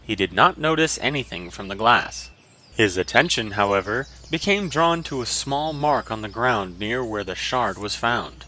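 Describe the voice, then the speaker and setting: A man's voice: lowish voice, lively intonation, normal speed, sharp tone, slight background noise. A man narrating a fantasy story in a dramatic, scripted audiobook style.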